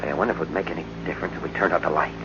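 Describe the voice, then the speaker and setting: A man acting a brave young hero in an old-time radio drama, with an earnest, halting urgency. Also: low-pitched, steady tone, vocal fry. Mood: shaken. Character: brave, tense young hero facing a monster. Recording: muffled, noisy old radio broadcast recording.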